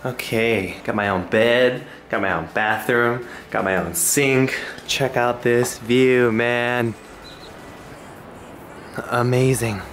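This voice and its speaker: A man in his thirties chatting casually and excitedly to a handheld camera as a travel vlogger, upbeat and gushing. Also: medium pitch, slow pace, a little hiss or hum. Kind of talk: casual vlog chat to camera. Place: handheld travel vlog, outdoors and in rented rooms. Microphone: camera at arm's length. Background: music.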